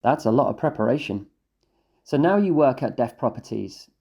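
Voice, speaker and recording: A man's voice: mid-range voice, lively intonation, moderate pace, vocal fry, mellow. A man interviewing a guest on a podcast, asking short, direct questions.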